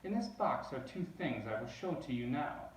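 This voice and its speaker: A man's voice: medium pitch, sing-song, a little hiss or hum, close to an everyday voice. A man voicing a mischievous, playfully persuasive storybook cat with a showy, coaxing manner. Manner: coaxing, showy, drawn-out repetitions. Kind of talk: storybook character voice. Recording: roomy echo, distant microphone.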